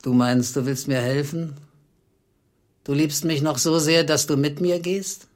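An actor playing a nervous, scheming henpecked husband in a German radio play, talking urgently and bossily. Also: male voice, on the high side, steady tone, unhurried, close to an everyday voice. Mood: bossy, excited.

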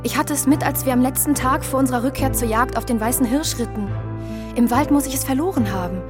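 Someone playing an annoyed regretful girl in an audio play, very high voice, music clearly behind. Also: fast, close to an everyday voice. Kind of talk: children's audio drama acting.

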